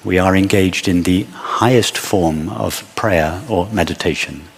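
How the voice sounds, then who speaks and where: Man, meditation teacher, deep voice, husky, short measured phrases, long pauses, reverberant hall. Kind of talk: guided meditation.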